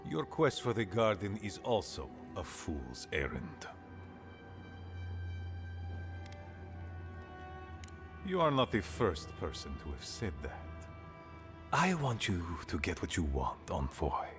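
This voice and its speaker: An actor playing an aging, pragmatic dwarf leader in a fantasy game, speaking in a grave, measured, dryly blunt way. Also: male voice, low voice, big pitch swings, over music. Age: older. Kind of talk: fantasy video game dialogue.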